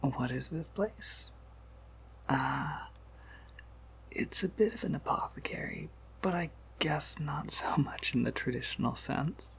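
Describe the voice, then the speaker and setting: A man playing an ancient, courteous vampire apothecary keeper in an ASMR roleplay, in a breathy, gravelly whisper with a hesitant, unhurried manner. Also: a little high, exaggerated voice. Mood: soft, amiable. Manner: hesitant, unhurried, softly chuckling asides.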